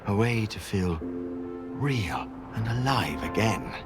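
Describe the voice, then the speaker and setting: Solemn video game prophet: very slow, hushed, breathy, philosophical, over loud ominous music. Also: male voice, fairly low pitch, big pitch swings. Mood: brooding. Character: mysterious solemn male stalker cult prophet. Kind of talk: video game cutscene acting. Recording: loud eerie music behind.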